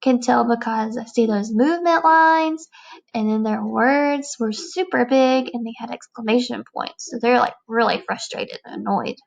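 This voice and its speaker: A woman's voice: fairly high pitch, normal speed, creaky, highs cut off. A woman teacher giving a read-aloud lesson for young children, explaining things emphatically and checking understanding. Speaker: elementary teacher reading aloud.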